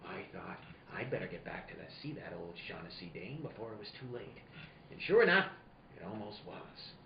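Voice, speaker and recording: An actor playing a wisecracking, cynical private detective in a radio play, sardonic and tough-talking. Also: male voice, sits low, big pitch swings, a little fast, creaky, heavily acted. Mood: brooding narration. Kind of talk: film noir radio play acting. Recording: dull roomy recording with background noise.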